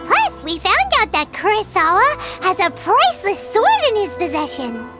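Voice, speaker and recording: A tiny fairy sidekick from a video game, extremely high and childlike. The voice bounces and swoops with earnest, chirpy opinions, over clear music. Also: female voice, huge pitch swings, moderate pace, heavily acted. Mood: eager. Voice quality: squeaky, childlike. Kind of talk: English game voice acting.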